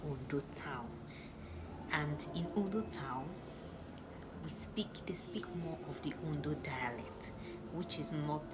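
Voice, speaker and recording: A young woman with a Nigerian accent telling a personal story to camera in a casual, chatty way, laughing often and restarting her sentences. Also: low voice. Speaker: vlogger. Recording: loud background noise.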